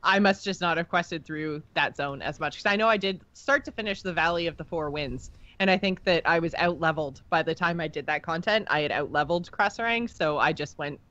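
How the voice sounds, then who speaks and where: A woman's voice: sits low, fairly quick, few pauses, a bit bright, no echo, dull audio. A woman co-hosting a podcast, talking through game lore in a chatty, informal way. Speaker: podcast co-host. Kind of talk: casual game lore discussion and recap.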